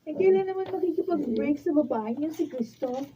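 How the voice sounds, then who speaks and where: A woman's voice: high-pitched, animated, moderate pace, background noise. A Filipino woman playing a pouty, emotional young woman in a radio drama. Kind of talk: Tagalog radio drama acting. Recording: thin, phone-like studio camera sound.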